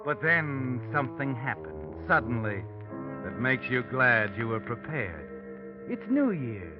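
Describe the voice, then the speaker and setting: A man's voice: mid-range voice, big pitch swings, measured pace. A man playing a taunting, all-knowing American narrator in 1940s radio noir style. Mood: knowing, ominous. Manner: taunting, addresses the character as you. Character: sinister all-knowing male narrator watching from the shadows. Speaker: narrator of a mystery drama. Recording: muffled old radio, loud music behind.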